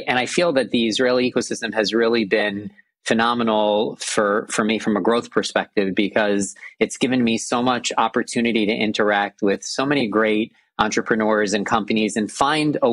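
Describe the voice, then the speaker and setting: American guy in his 40s, lowish voice, dry close sound, earnest, on a video call. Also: fairly bright tone, dry recording. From United States. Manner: earnest, repeats phrases for emphasis. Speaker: guest lawyer. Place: indoors, small room.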